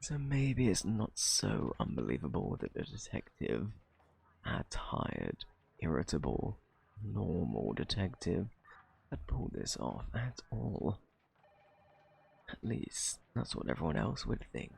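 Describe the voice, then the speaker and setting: A man acts out a cunning gentleman thief in an ASMR roleplay, British and shamelessly flirtatious. His husky voice sits low, thick with gravelly fry. He swoops through his lines in a smug, taunting drawl, feigning innocence as he teases. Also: wildly swooping pitch, slow pace, heavily acted. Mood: taunting, insinuating. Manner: teasing, drawling, mock-innocent.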